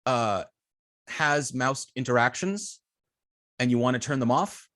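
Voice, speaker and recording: A man giving a live coding tutorial as an instructor, explaining step by step with halting phrasing, frequent ums and self-corrections. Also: mid-range voice, fairly bright tone, no room sound, clean studio sound. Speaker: web development instructor.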